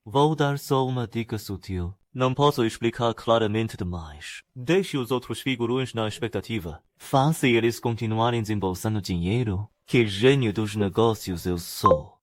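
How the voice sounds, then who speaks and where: A man's voice: on the low side, moderate pace, few pauses, no room sound, studio quality, close to an everyday voice. A man voicing an anxious, scheming, self-deprecating web-novel writer in a dramatic motion comic. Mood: smug, gleeful.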